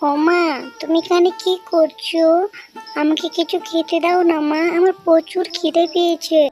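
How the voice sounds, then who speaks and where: A tiny, extremely high little girl's voice for a cartoon. The hungry, neglected child whines and pleads. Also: little pitch movement, normal speed, a little mellow, faint music behind. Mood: pleading. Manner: whining, pleading. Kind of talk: amateur cartoon dub.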